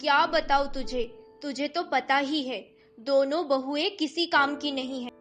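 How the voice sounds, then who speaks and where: A woman acts out melodramatic women of a quarrelling household in an animated story. The voice is very high and piercingly bright, with slow, exaggerated delivery over background music. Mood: scornful, complaining. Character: scheming, grumbling mother-in-law and her young daughters-in-law.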